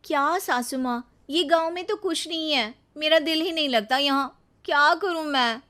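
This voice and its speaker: A woman voicing an eager, determined young city-bred daughter-in-law in a Hindi cartoon story, expressive and earnest. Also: high-pitched, moderate pace, pitched above the performer's own voice. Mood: restless.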